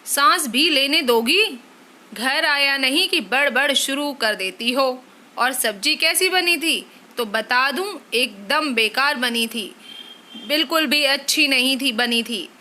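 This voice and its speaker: A woman voicing an irritable young husband who scolds his wife loudly in a storybook reading. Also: high-pitched, unhurried. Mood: irritated. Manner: harsh, complaining, loud.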